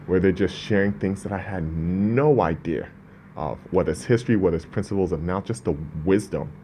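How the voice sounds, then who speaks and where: Deep-voiced man, earnest and rambling. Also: brisk, mellow, slight background noise. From Ghana. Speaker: business owner, guest. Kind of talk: casual interview talk.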